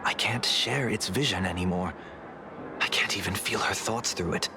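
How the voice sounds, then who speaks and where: A man playing a composed, grave strategist in a fantasy video game, speaking in a measured, articulate way. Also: low voice, background noise.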